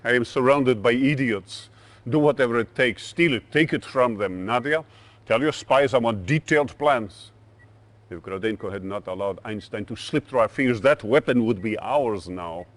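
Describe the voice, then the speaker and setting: An actor playing a ruthless, impatient Soviet dictator in a video game cutscene, commanding and contemptuous. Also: male voice, medium pitch, normal speed, close to an everyday voice. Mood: irritated, commanding.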